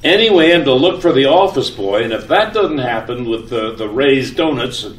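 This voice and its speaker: A man's voice: mid-range voice, expressive, moderate pace, close to an everyday voice. A man playing a harried husband in an old-time radio comedy sketch, stammering and repeating himself. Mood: confused, exasperated. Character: flustered, harried husband rushing to catch a taxi. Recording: echoey room, distant mic, faint noise.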